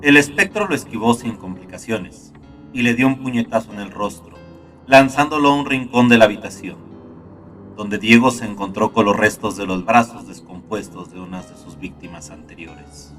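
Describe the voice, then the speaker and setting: A man reading a scripted horror story as narrator, in a dark, gloomy, dramatic manner. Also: lowish voice, normal speed, far from the mic. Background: soft guitar music.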